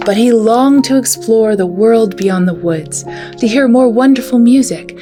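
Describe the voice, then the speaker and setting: A woman's voice: fairly high pitch, unhurried, dark tone, faint music behind. A woman hosting a children's storytime, reading a picture book aloud in a storytelling style.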